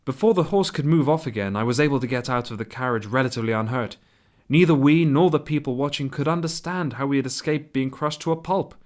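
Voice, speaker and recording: A man playing a 19th-century composer in a radio play, reading his letters aloud in a measured, reflective way. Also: mid-range voice, lightly acted. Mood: recounting, calm. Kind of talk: dramatised reading of a personal letter.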